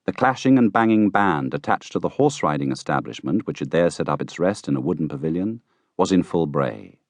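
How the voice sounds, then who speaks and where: A man reading a classic novel as an audiobook narrator, with expressive, theatrical storytelling. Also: low voice, fairly quick, no room sound. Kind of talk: scripted literary narration.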